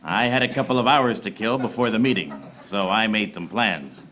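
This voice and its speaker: Guy doing deadpan hardboiled detective narration, lowish voice, radio noir spoof, bit of noise from live stage. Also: moderate pace. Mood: wry narrating. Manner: deadpan pun-laden monologue. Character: wry hard-boiled noir private eye, parody narrator. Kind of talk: parody film noir radio serial narration. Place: small theater stage before live audience. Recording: noisy live theater stage recording.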